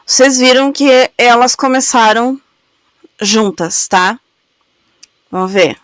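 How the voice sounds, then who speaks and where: A woman's voice: a little high, fairly bright tone, no echo. A woman hosting a react channel, chatting affectionately with viewers while she has a cold. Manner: chatty, affectionate, slow and drawn-out. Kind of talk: casual chat to viewers.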